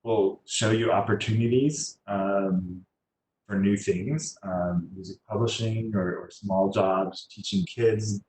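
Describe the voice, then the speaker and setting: In an echoey room with faint noise behind him, a man gives informal career advice in a low voice. He speaks haltingly, as a guest on a video call, and often lets phrases trail off. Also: steady tone, a little mellow. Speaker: guest, landscape designer and choral musician.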